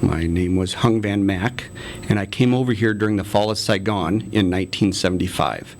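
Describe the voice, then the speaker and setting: A man's voice: sits low, normal speed. A man in his forties being interviewed, talking in a friendly way with many ums and laughs. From United States. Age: 40s. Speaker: interview guest, city council candidate. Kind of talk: casual interview conversation.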